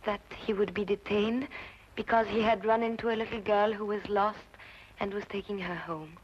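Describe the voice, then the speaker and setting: A woman's voice: medium pitch, steady tone, normal speed, lightly acted. A woman playing a stubborn, prim, lovestruck young lady in an old radio drama. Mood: insistent, defensive. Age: young adult. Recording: dull old radio recording, faint noise.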